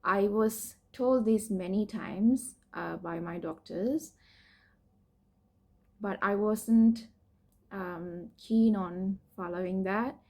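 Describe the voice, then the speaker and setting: Young woman from Sri Lanka, personal vlog, hesitant and candid with fillers, mid-pitched voice. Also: slow pace. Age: young adult. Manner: hesitant, many fillers and restarts, soft laughs. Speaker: PhD student sharing her cancer story.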